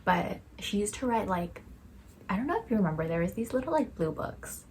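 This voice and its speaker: A woman's voice: mid-range voice, big pitch swings, a little fast, darkish tone, slight background noise. A young woman co-hosting a casual podcast chat. She has a mixed Malaysian-Taiwanese accent and tells stories with lots of fillers and laughter. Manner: laughing storyteller, chatty with many fillers. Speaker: podcast co-host.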